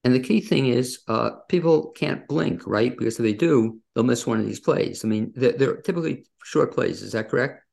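A man's voice: mid-range voice, normal speed, vocal fry, hardly any pauses, a little mellow. A man hosting an interview podcast over a video call, addressing listeners and his guest. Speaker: podcast host. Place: remote video call from his own studio.

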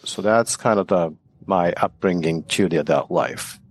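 A man of about fifty with a Japanese accent, a native Japanese speaker talking as a podcast guest in a halting, reflective way. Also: sits low. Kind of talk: casual interview conversation.

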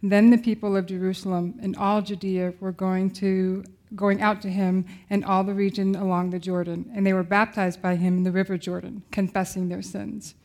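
A woman's voice: medium pitch, level tone, normal speed, few pauses, darkish tone. Mid-voiced woman reading scripture. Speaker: scripture reader.

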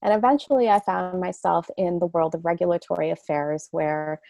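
A woman's voice: fairly low pitch, fairly even intonation, normal speed, creaky, warm tone, no room sound. A middle-aged woman from Canada, a guest on a podcast, speaking earnestly and reflectively in long flowing sentences. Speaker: guest, coach. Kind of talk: podcast interview.

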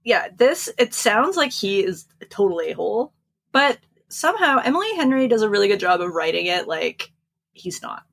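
Husky woman chatting casually about books. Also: medium pitch, big pitch swings, moderate pace, fairly bright tone. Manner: informal, joking, slangy. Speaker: podcast co-host. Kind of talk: casual book discussion chat.

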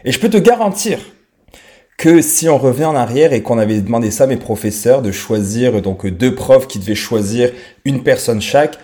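A man's voice: mid-range voice, expressive, moderate pace, warm tone. A man in his thirties with a French accent, talking straight to the listener in an informal, unscripted podcast monologue. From France. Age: 30s. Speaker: podcast host.

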